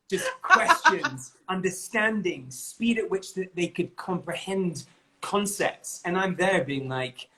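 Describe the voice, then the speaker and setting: Bright-voiced chatty English man. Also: sits high, big pitch swings, takes it slowly. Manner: chatty, laughs often, restarts a lot. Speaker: interview guest, bagpipe player. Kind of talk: casual chat.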